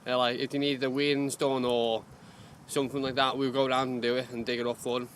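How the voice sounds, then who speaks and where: A man works as a community gardener and speaks openly, in simple, halting phrases. His voice is of ordinary pitch for a man. Faint outdoor sounds drift behind him. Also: flat delivery, a bit bright, a little hiss or hum. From Liverpool, England. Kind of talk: interview answers outdoors.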